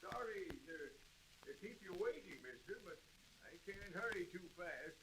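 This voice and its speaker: An actor playing a kindly, plucky old blind gas station owner in an old-time radio drama, with folksy, hesitant speech. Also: male voice, high voice, lively intonation, normal speed. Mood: patient, friendly. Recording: noisy, clicking old radio drama recording.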